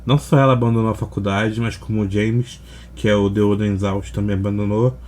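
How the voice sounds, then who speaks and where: A man's voice: fairly low pitch, steady tone, brisk, a little mellow, a little hiss or hum. A man with a Brazilian accent hosting a reaction video in laid-back, slangy, casual chat.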